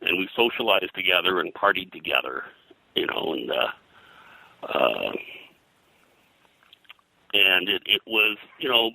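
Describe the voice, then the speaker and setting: An older man reminiscing in an interview as a comics industry veteran, in a halting, rambling way peppered with "you know". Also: sits low, sing-song, gravelly, bright, forceful tone, no room sound, rough recording.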